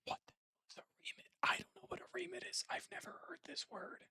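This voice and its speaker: A person whispering casual, rambling commentary while playing puzzles, musing aloud in a breathy, hushed voice close to the microphone. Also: very high voice, animated, fast, no room sound. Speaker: host of a puzzle-game stream.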